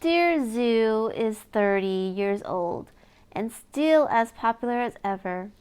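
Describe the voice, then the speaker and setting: A woman reading a picture book aloud to young children in an expressive storytelling manner, with exaggerated wonder for small listeners. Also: on the high side, slow pace, smooth.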